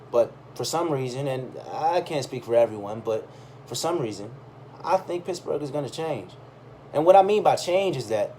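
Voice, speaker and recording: A man reading a first-person essay aloud in an expressive, conversational storytelling way, with dramatic pauses and emphasis. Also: medium pitch, a little hiss or hum.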